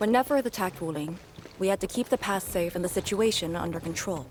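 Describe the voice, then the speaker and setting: An actress playing a composed, commanding officer of a frontier defence force in a scripted video game. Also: female voice, on the low side, fast, slight background noise, close to an everyday voice. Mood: composed, explaining. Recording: game music behind the voice. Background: game music.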